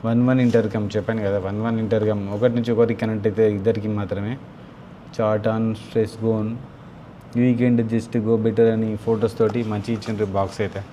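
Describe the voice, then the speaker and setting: Man, Telugu accent, very monotone, casual unscripted vlog talk, thinking aloud, clear background noise. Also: lowish voice, a little fast. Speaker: motovlogger unboxing and installing gear. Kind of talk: casual unscripted product walkthrough.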